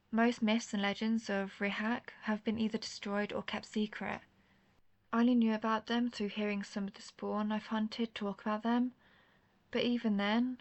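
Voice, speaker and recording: A woman's voice: mid-range voice, gravelly. A woman playing an intense, guarded vampire hunter, herself a vampire, in a scripted roleplay audio drama, speaking earnestly and formally straight to the listener. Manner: earnest, formal phrasing, addressing the listener directly.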